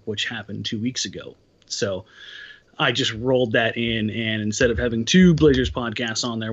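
A man's voice: on the low side, fairly bright tone, muffled recording. A man who writes about basketball, chatting as a guest on a podcast with dry humor and careful analysis. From Portland area. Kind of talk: casual podcast chat.

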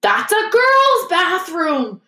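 Woman playing a loud, scandalised schoolboy prefect. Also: a little high, expressive, moderate pace, echoey room. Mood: shocked. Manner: loud, indignant, fussy. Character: pompous, scandalised teenage schoolboy prefect, scolding.

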